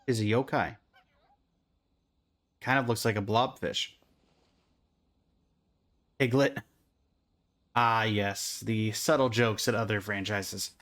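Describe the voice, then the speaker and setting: A man's voice: on the low side, steady tone, takes it slowly, long pauses, fairly bright tone. A man doing casual reaction commentary while streaming a video game, with dry, offhand asides.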